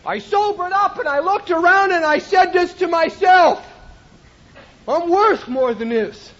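A man giving an impassioned personal testimony at a recovery meeting, often shouting for emphasis. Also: extremely high pitch, a little slow, lo-fi sound. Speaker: recovery speaker.

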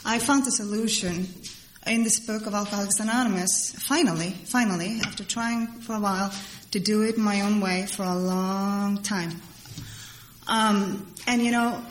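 A middle-aged woman from Iceland, giving personal testimony to a crowd in a halting, rambling way and repeating phrases for emphasis. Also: mid-range voice. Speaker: speaker at a recovery meeting. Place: large meeting hall before a crowd. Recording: echoey hall with background noise.

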